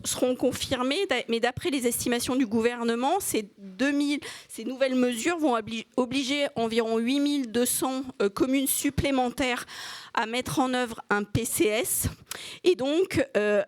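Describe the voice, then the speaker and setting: Female moderator, semi-formal and halting, pitched on the high side, very little room sound. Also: no room sound. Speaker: moderator opening a panel session. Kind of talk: semi-formal conference presentation.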